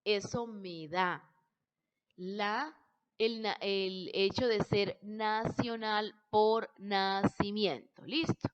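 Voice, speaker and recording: A woman's voice: mid-range voice, steady tone, drawn-out words, clear, resonant tone, dull audio. A Colombian woman teaching a recorded lecture, explaining step by step with personal examples and checking in with her students. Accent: Colombian Spanish.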